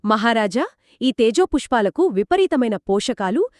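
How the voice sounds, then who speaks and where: A woman's voice: on the high side, big pitch swings, moderate pace, dry recording, clean studio sound. A woman co-hosting a conversational discussion of a story, explaining it as a storyteller would. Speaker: podcast co-host.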